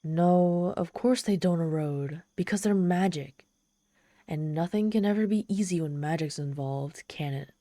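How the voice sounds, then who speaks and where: A woman's voice: on the low side, a little slow, dark tone, dry recording, close to an everyday voice. A woman voicing a proud, lonely, trapped female demon in an ASMR roleplay, sardonic and exasperated with a pleading, vulnerable streak. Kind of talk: ASMR roleplay monologue, dramatic storytelling.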